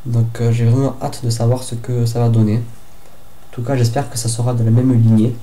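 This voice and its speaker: A man's voice: medium pitch, level tone, moderate pace, dark tone. A young man from France with a head cold, chatting hesitantly through a casual book review vlog with many fillers and restarts. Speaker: book vlogger.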